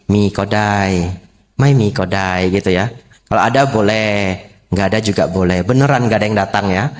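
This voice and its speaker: A man preaching as a Buddhist monk, unhurried, repeating key phrases and posing rhetorical questions to lay listeners. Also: medium pitch. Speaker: Buddhist monk giving a Dhamma talk. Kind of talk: sermon to a lay congregation.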